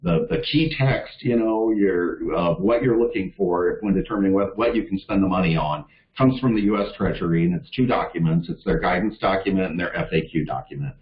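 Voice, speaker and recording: A man with a very low voice gives an informal, earnest presentation on a conference call. He leans on fillers like "um" and "you know" while pressing his listeners to act. The audio is dull and echoey. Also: fairly quick. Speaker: staff presenter for a league of cities.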